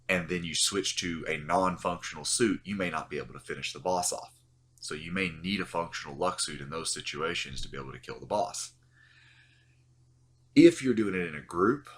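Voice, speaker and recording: A man with an extremely low voice talks through a game guide in a relaxed voice-over. He sounds stuffy, still getting over the flu, and he rambles with plenty of fillers. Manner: loose, rambling, many uh fillers. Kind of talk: casual explanatory voice-over.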